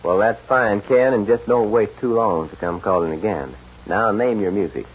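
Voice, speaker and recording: A man's voice: fairly low pitch, normal speed, slightly rough. A man hosting a 1940s radio show with scripted banter, heard on a muffled vintage recording.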